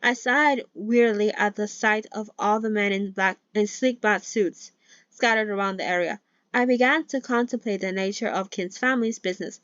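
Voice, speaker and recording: A woman's voice: medium pitch, normal speed, a bit bright, no room sound. Brief: a woman, non-native English, hosting a chatty novel read-along; trips over words and restarts, on a dull lo-fi mic. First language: not English. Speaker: channel host reading a novel aloud.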